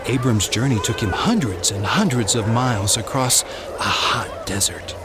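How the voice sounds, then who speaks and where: A man narrating a scripted children's Bible story in an expressive way, drawing out words for emphasis. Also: on the low side, measured pace, over a noisy crowd, heavy background noise.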